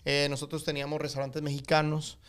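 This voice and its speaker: A man's voice: a little high, fast talker, clear, resonant tone. Colombian-accented man telling stories in an interview. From United States, Colombian family. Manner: animated storyteller with many fillers and restarts. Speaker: guest, actor and coffee entrepreneur. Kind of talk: casual interview storytelling in Spanish.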